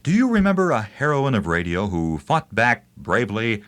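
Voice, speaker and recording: A man's voice: medium pitch, wildly swooping pitch, moderate pace, a bit bright. A man presenting a scripted promotional narration about old radio, with a wry, nostalgic manner.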